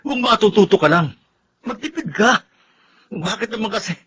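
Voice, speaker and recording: Casting: struggling, honest Filipino husband in serial drama; pleading, lively-pitched delivery, faint background noise. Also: male voice, normal speed. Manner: pleading, earnest. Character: earnest, hurt, principled low-earning young husband.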